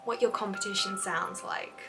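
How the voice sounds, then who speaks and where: A woman's voice: mid-range voice, fairly even intonation, moderate pace, vocal fry, distant mic, over music. A young woman YouTuber giving a scripted, earnest and encouraging explainer about fanfiction.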